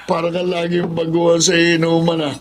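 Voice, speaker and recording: An actor with a Filipino accent playing a tipsy, chummy, generous drinking buddy in a radio drama. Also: male voice, a little high, monotone, brisk, close to an everyday voice. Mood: tipsy, jovial. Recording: clear background noise.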